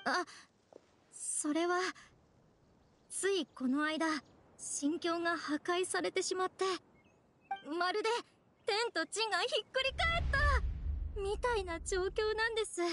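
A woman's voice: very high-pitched, slow, pauses often, fairly bright tone, slight background noise, exaggerated voice. A woman voicing a timid, flustered, self-deprecating young woman in an anime game, hesitant and dramatic. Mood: troubled. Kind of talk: Japanese anime game voice acting.

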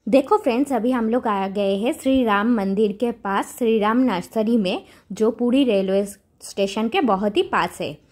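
A woman's voice: a little high, moderate pace, darkish tone. A woman in her thirties with an Indian accent, chattily addressing viewers as the host of a casual vlog.

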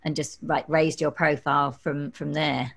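A middle-aged woman from London hosts an interview over a video call, talking in an animated, chatty way. Her voice sits a little low and comes through a desk mic in a home room. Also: moderate pace, creaky. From London, England. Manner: animated, gestures while talking. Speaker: podcast host. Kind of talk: interview chat. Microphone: studio desk mic on stand.

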